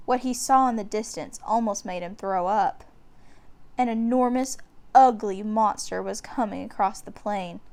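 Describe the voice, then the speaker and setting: High-pitched woman reading a children's story. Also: darkish tone. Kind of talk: children's book read-aloud narration.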